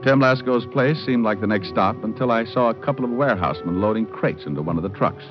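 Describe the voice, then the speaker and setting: Man playing a deep-voiced, wisecracking private eye. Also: fast talker, close to an everyday voice. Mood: wry, sardonic. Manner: sardonic, smooth-talking. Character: wisecracking, suave male private detective. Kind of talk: first-person noir radio narration and dialogue. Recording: muffled old radio recording with music. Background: music.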